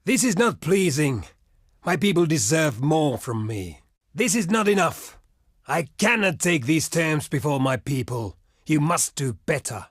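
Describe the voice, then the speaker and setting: An actor playing a proud, stern barbarian envoy in a video game, speaking in a solemn, formal and declamatory way. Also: male voice, fairly high pitch, animated, measured pace, no room sound, studio quality. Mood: indignant, refusing. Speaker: diplomat character in a strategy game. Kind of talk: theatrical video game voice lines.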